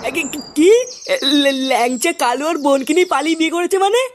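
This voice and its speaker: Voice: high voice, fast talker, lightly acted. An actor voicing an irritable, foul-mouthed young neighborhood busybody in a comic cartoon, scolding and exasperated. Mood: stunned. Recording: clearly noisy background with clicks.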